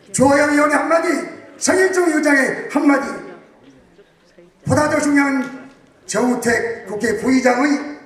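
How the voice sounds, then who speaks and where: A man giving a rousing congratulatory speech to an audience, shouting his points emphatically. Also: very high voice. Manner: emphatic, shouting slogans to the crowd. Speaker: guest speaker. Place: large banquet hall with audience. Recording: very echoey hall, distant mic, crowd behind.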